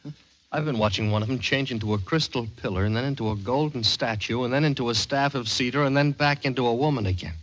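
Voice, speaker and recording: Man playing an incredulous, fast-talking rocket crewman. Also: medium pitch, fairly bright tone, lightly acted, poor audio. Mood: awed, incredulous. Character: astonished male rocket crewman marveling at strange sights. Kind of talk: old-time radio drama acting.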